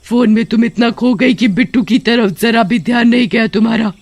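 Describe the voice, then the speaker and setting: Actress as vengeful motherly ghost witch aunt for horror cartoon. Emphatic, gravelly edge, very dry sound. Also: on the high side, brisk, darkish tone, no echo. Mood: angry, accusing. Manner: accusing, emphatic, emotional. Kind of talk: Hindi animated horror story dubbing.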